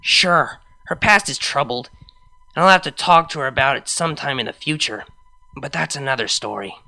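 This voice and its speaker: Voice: medium pitch, normal speed, gravelly, sharp tone. A reader voicing an anxious, lovestruck young female pony DJ in a hesitant, introspective first-person fan fiction monologue. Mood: tender, resolved.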